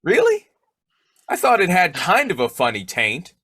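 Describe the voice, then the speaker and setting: A man's voice: high voice, huge pitch swings, moderate pace, bright, forceful tone, slightly rough, no echo, clean studio sound, exaggerated voice. A man acting a snoopy, folksy husband who cracks slangy jokes in an old-time radio comedy. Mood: jokey. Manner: folksy, jokey, slangy delivery.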